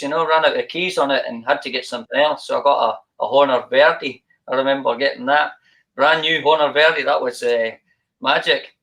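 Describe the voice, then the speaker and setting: Bright-voiced Scottish man telling his story. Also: medium pitch, low-quality recording. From Scotland. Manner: rambling and hesitant, lots of ums and restarts. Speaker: guest accordion player. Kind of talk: casual interview storytelling.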